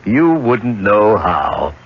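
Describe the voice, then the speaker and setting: A man's voice: lowish voice, breathy edge, heavily acted. An actor playing a ruthless, smug businessman, sardonic and brusque, in 1970s radio drama style. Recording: dull 1970s radio recording.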